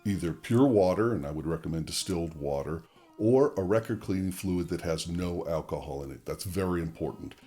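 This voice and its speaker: A man's voice: sits low, fairly quick, mellow. A man presenting a tutorial in a conversational, methodical way, explaining equipment step by step and addressing viewers directly. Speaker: presenter of a record-cleaning tutorial channel. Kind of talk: conversational product demonstration and explanation.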